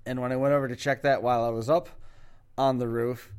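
A man in the middle of his pitch range talks through a long story on a casual podcast. He rambles, restarts his sentences and piles on details. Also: level tone, fast talker, a little mellow, clean tone. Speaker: podcast co-host.

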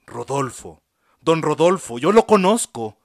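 A man voicing a scheming male doctor who talks his patient round in a falsely gentle tone in a storybook reading. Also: on the high side, very animated, a little slow, heavily acted. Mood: coaxing.

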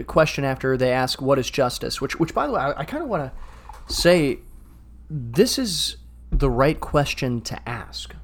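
A man co-hosting a discussion podcast as a pastor, thinking aloud earnestly with frequent stammered restarts. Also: mid-range voice.

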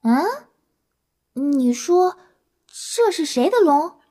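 A woman's voice: sits high, expressive, takes it slowly, warm tone. A woman playing a timid, naive, easily flustered young human in an audio drama, speaking meekly and hesitantly. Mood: stunned, nervous. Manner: hesitant, meek. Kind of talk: Chinese audio drama acting.

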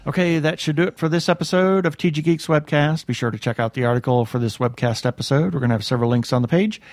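Higher-voiced man hosting a podcast. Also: fast talker, a little mellow, no room sound. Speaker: podcast host. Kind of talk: casual webcast announcements and sign-off.

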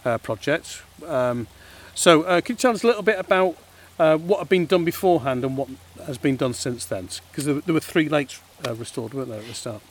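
A man hosting an interview podcast, pausing often with uhs and restarts. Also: a little high, a little hiss or hum. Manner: hesitant, many uhs and restarts. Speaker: podcast host.

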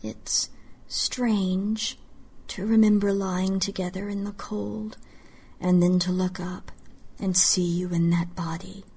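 A woman's voice: medium pitch, steady tone, slow pace, a little mellow, slightly rough. A woman whispering as a remorseful scheming queen. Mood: whispered regret. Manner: pleading, intimate.

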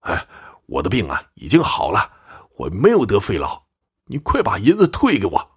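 A man's voice: medium pitch, huge pitch swings, normal speed, slightly rough, voice pushed up, exaggerated voice. A man voicing a gullible, aggrieved elderly commoner in a storybook tale, with a pleading, hesitant manner. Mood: angry.

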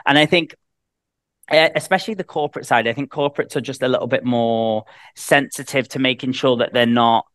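A man with a Northern English accent chats as a podcast guest, rambling and restarting his sentences. His voice sits at an everyday pitch. The sound is dry and close, with no room echo. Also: a little fast, a bit bright. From Northern England. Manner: chatty, rambling with many restarts and fillers. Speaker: guest, head of sales. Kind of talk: casual podcast chat.

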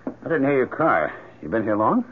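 An actor playing an embittered, excitable male painter in an old-time radio drama, speaking emphatically and reacting strongly. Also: medium pitch, moderate pace, a little hiss or hum, lightly acted. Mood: agitated. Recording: muffled lo-fi radio drama recording.

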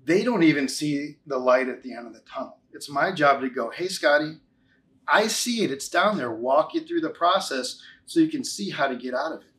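Man, early forties, slightly high-pitched, interview guest, conversational testimonial, emphatic repetition. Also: expressive, moderate pace, fairly bright tone. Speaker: interview guest, financial advisor.

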